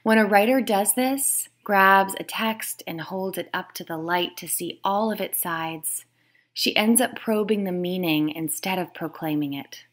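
A woman author reading her nonfiction book aloud in a measured, explanatory way. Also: mid-range voice, fairly bright tone. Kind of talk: scripted read-aloud of nonfiction.

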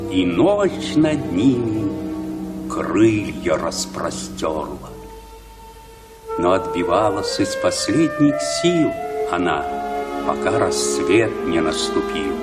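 Slow, grave storytelling shepherd, low voice. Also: male voice, far from the mic, full character voice. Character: old shepherd telling tales, grave and tender. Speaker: radio drama actor. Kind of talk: Soviet-style radio play, verse declamation.

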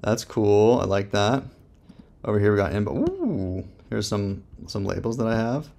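A man talks through a software review in a fairly low voice with plenty of gravelly fry. He thinks aloud casually, using slang and dry jokes in a laid-back, unscripted way. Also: very animated, moderate pace.

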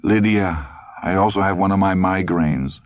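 A man's voice: low-pitched, moderate pace, no room sound, lightly acted. A man voicing a grumpy, long-suffering older husband in an audiobook story, irritable and dour. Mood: pained, resigned.

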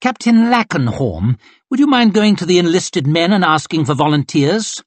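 Colonnello arrogante e beffardo, fatto da un uomo, voce un po' roca, acuta e teatrale. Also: male voice, sits high, very animated, normal speed, no room sound, voice pushed up. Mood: haughty. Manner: sneering, theatrical, cutting. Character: arrogant, mocking male cavalry colonel.

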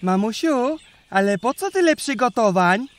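A woman's voice: fairly high pitch, lively intonation, normal speed, close to an everyday voice. A woman voicing a curious little boy bunny who asks eager questions in a children's audio drama.

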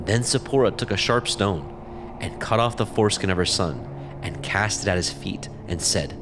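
A man narrating scripture from a script in a measured, solemn manner. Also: fairly low pitch, noisy background. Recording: music behind the voice.

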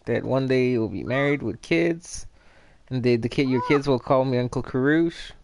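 A man's voice: medium pitch, normal speed, background noise. A man in his late twenties hosting a casual gaming podcast, joking around and laughing often. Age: 20s. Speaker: podcast host. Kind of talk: casual chat.

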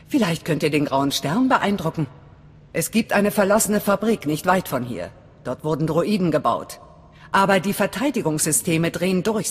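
A woman playing a hard, distrustful resistance fighter in a video game, speaking in a blunt, commanding, menacing way. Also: low-pitched. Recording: long reverb, faint music behind.

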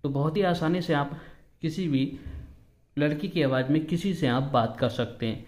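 A man's voice: on the high side, moderate pace, darkish tone. Man explaining a phone app step by step. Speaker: tutorial host. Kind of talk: instructional phone app walkthrough.

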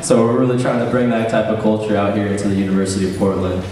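A young man emceeing a student cultural show on stage, chatty and relaxed with lots of fillers. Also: lowish voice, racing, slight background noise. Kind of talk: casual stage hosting. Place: large event hall on a stage. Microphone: handheld mic heard through hall speakers. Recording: echoey hall from far off.

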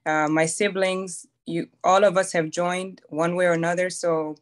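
A woman's voice: on the low side, little pitch movement. A woman from Chad, a Navy command master chief, telling her story on a phone video call, humble and a little nervous. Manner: humble, slightly nervous, halting.

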